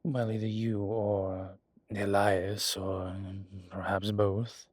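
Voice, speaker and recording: Man as very slow-speaking, low old vampire. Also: level tone, dry recording. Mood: weary, guarded. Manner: unhurried, halting, deliberate. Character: centuries-old male vampire, weary, genial former soldier. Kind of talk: dramatic audio roleplay acting.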